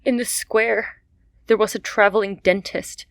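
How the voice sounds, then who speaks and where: A woman's voice: medium pitch, steady tone, a little slow, no room sound, clean studio sound, close to an everyday voice. A woman voicing a poor young mother, anxious and pleading, desperate yet proud. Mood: distraught. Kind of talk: amateur radio play acting.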